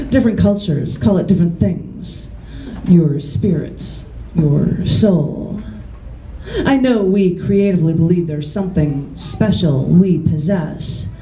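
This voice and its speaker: A woman poet giving a live reading in a bookstore, reading in a measured, deliberate way with chatty, laughing asides between poems. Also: lowish voice, dark tone. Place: large bookstore, indoors. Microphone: camera mic. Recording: echoey room from the camera.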